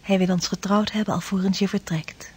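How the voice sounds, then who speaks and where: A devoted young bride-to-be in a radio drama. Her mid-pitched voice is soft-edged and breathy, full of yearning for her seafaring love. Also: fairly even intonation, fairly quick. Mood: dreamy, eager. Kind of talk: Dutch radio drama acting.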